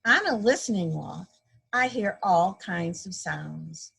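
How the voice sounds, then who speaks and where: A woman reads a children's story at a slow, deliberate pace. Her voice is gravelly and a little rough, and she explains words simply for small listeners, playing up the little sound effects. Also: lowish voice, lively intonation, fairly bright tone. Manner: playfully acts out sound effects. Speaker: guest storybook reader, a mother. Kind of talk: read-aloud children's story time, simple teacherly explaining.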